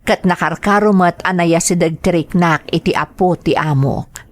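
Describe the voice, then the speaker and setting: A woman's voice: fairly low pitch, normal speed, natural voice. A woman acting in a drama as a devoted, homesick Filipino mother working as a nanny, with a Filipino accent and an earnest, polite way of speaking. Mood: earnest, sorrowful. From Philippines. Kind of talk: radio drama acting and narration.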